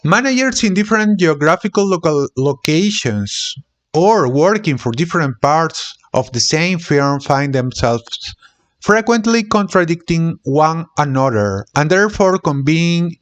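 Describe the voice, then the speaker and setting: A man reading academic text aloud as an amateur narrator, with deliberate, halting phrasing. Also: a little high.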